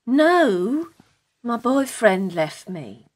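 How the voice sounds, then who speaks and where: Woman acting an earnest lovelorn woman, husky. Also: a little high, sing-song, very slow, heavily acted. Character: earnest, lovelorn, persistent woman pursuing a man. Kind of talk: radio play acting.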